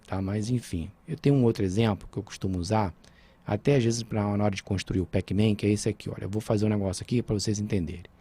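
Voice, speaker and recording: A man's voice: on the low side, fast, warm tone, husky. A man with a Brazilian Portuguese accent teaching a programming lesson over a screen recording, talking informally and checking in with his students.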